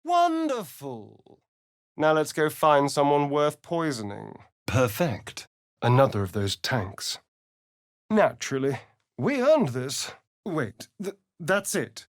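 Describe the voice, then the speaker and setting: Sly vampire rogue, very animated pitch, dry close sound, arch, sardonic, video game character. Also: male voice, takes it slowly, no echo, full character voice. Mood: sardonic, playful. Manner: arch, flamboyant, sardonic, theatrical delivery. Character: sly, vain, theatrical male vampire spawn rogue. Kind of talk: video game voice acting, dramatic lines and narration.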